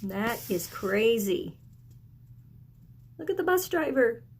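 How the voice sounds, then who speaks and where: A woman teaching young children as a kindergarten teacher, speaking deliberately and encouragingly, with questions and pauses for answers. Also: fairly high pitch, very animated, slight background noise. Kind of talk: video lesson and read-aloud for young children.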